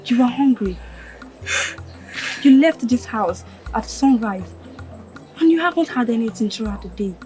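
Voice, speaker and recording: Protective village woman, Nigerian accent, kind, coaxing, highish animated voice, film melodrama, music behind. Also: measured pace, long pauses. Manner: coaxing, pleading.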